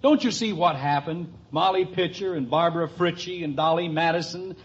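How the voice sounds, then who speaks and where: A man's voice: fairly high pitch, normal speed, natural voice. An actor playing a reasonable, placating middle-aged father and neighbor in a 1950s radio family comedy. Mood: knowing. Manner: placating, knowing. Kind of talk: 1950s radio sitcom acting. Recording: slightly hissy, muffled old radio recording.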